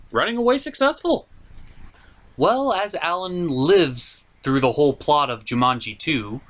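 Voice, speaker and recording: This is a comedic film reviewer hosting a recap. The delivery is very animated and sarcastic, with pitch that swings widely from line to line. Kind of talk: comedic movie recap commentary.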